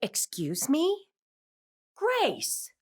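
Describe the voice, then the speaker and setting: A woman's voice: high-pitched, huge pitch swings, extremely slow, exaggerated voice. A woman acting an anxious, controlling middle-aged wife and mother in an audio drama, with an earnest, exacting manner. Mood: exasperated. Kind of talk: audio drama acting, confessional monologue and dialogue.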